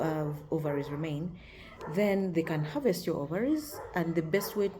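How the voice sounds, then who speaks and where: A woman in her forties speaks to camera in a deep, low, gravelly voice with a mellow tone. Her talk is frank and upbeat, and she laughs easily. A faint hum of background noise sits under the voice. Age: 40s. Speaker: vlogger.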